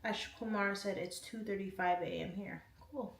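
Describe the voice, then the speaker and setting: A woman's voice: mid-range voice, steady tone, normal speed, creaky. A woman hosting a casual livestream, reading out viewer comments and answering each one. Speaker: livestream host. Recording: echoey room, faint noise.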